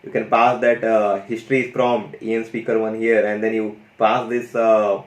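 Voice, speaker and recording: Lowish-voiced guy walking through an ai demo, excited, lots of "you know", echoey room. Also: moderate pace. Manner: enthusiastic, loose, full of "you know" and "guys". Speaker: tech YouTuber demonstrating an AI audio tool. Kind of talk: casual screen-share tutorial. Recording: roomy echo.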